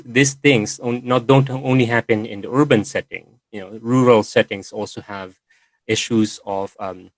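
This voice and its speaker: Hesitant low-voiced man. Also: no room sound, rough recording. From Philippines. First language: Filipino. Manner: hesitant, many fillers and restarts. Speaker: session host and moderator. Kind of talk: online meeting presentation.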